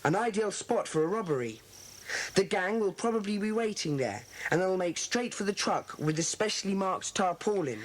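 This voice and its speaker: Earnest high-voiced English schoolboy leader. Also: male voice, animated, normal speed. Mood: earnest, deliberating. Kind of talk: dramatised children's audiobook acting. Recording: faintly noisy old cassette recording.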